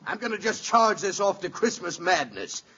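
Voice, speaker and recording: A man with an American accent playing a sarcastic, exasperated police officer in a 1940s radio comedy, gruff and blustering. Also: high-pitched, big pitch swings, moderate pace, bright, forceful tone, full character voice. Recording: dull, hissy vintage radio recording.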